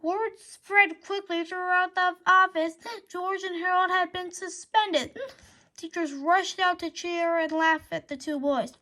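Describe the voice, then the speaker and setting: A woman's voice: very high voice, steady tone, a little slow, pushed and bright. A woman reading a children's chapter book aloud dramatically, stumbling over words and rereading them. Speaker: storybook reader.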